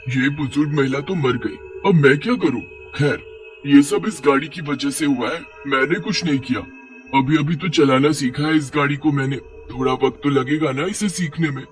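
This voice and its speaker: Man voicing a willful, high-pitched witch. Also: moderate pace, faint music behind, close to an everyday voice. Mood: stubborn, eager. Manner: self-absorbed, excitable. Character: willful, gleeful female witch risen from the grave.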